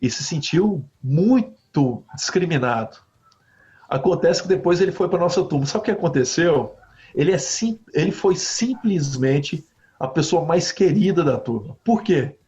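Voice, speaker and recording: A man from Brazil, a university professor, answering interview questions with animated stories, often ending phrases with a questioning tag. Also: fairly high pitch, unhurried. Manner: animated storytelling with frequent "né?" tags. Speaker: guest professor. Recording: slightly dull lo-fi audio.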